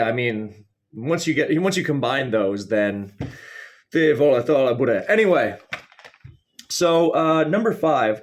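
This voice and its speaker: Guy rambling to camera, average pitch, mock serious and wry, kinda halting, goes off on tangents. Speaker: video host. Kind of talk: casual, rambling comedic monologue to camera.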